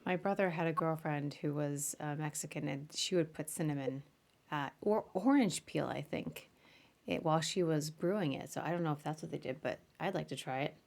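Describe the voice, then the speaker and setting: Chatty woman hosting, lowish voice. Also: moderate pace, vocal fry, a little mellow. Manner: informal, chatty. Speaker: podcast host. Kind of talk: casual live interview.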